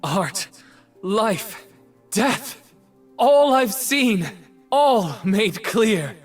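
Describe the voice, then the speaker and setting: Slow, husky, brooding artist mage. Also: male voice, sits high, big pitch swings, soft background music, heavily acted. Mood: intense. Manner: slow, measured, poetic delivery. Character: somber, haunted male artist mage, brooding and poetic. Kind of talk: dramatic video game voice lines.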